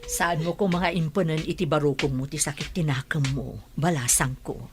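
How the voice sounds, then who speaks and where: A woman playing a consoling older aunt in a radio drama, with a soft, motherly manner. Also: sits low, fairly even intonation, normal speed, noisy background.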